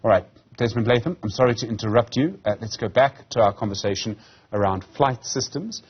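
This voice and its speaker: A man in his fifties with a slightly husky voice, presenting and interviewing on live television news. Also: on the low side, fairly quick, muffled recording. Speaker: news anchor.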